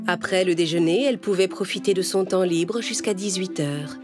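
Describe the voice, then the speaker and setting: A woman's voice: on the low side, normal speed, over music. A woman storyteller reading a scripted children's tale as narration.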